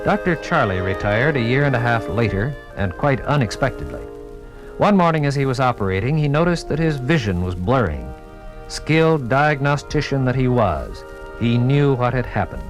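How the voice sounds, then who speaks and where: A man's voice: mid-range voice, very animated, normal speed, faint music behind, rough recording. Formal American male radio narrator. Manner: formal, dramatic announcer delivery. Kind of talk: 1950s radio documentary scripted narration. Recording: vintage 1950s radio recording.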